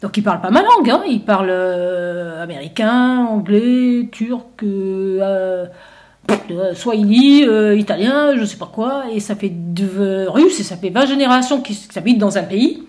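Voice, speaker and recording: A woman's voice: medium pitch, lively intonation, a little slow, a little mellow, natural voice. A woman voicing a stubborn shepherd who defends his homeland, indignant and incredulous, with lots of hesitations. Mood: puzzled.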